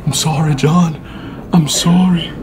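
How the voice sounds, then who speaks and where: A man playing a brash, bumbling male wildlife poacher in an improvised comedy skit, excitable and tacking "mate" onto everything. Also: on the high side, unhurried, heavy background noise, voice pushed down, natural voice. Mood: boastful. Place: school building, indoors. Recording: echoey halls with crowd chatter. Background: students chattering.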